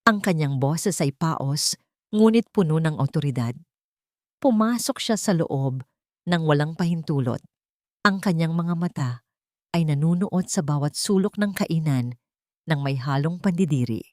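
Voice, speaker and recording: A Filipino woman narrates a scripted romantic drama as a storyteller. Her voice sits on the low side for a woman, and the recording is dry, with almost no room sound. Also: animated, unhurried, a little mellow, no room sound.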